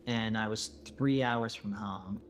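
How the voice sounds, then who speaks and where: A man playing a calm lone survivor, narrating his explorations matter-of-factly in a found-footage horror video. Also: lowish voice, takes it slowly. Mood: calm, reflective. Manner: calm, matter-of-fact, conversational. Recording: clear background noise and ambience.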